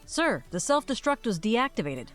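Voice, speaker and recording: A woman voicing a dutiful junior starship officer with a formal, measured manner in video game dialogue. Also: fairly high pitch, big pitch swings, a bit bright, soft background music. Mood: reporting. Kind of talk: scripted game cutscene dialogue, repeated takes.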